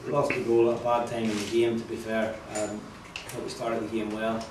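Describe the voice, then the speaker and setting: A man's voice: fairly low pitch, monotone, a little fast. A man gives a post-match football interview. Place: echoey indoor press conference room. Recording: very echoey room, distant mic, clear noise.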